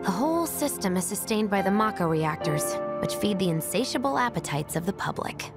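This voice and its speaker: Breezy, teasing young rebel, music behind. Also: female voice, mid-range voice, sing-song, a little fast. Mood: explaining. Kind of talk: video game voice acting.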